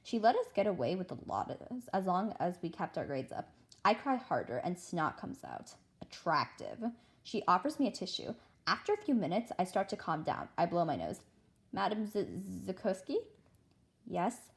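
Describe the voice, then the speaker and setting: A woman narrating a children's novel aloud in an expressive, dramatic style, now and then stumbling over words. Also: on the low side, moderate pace. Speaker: read-aloud host.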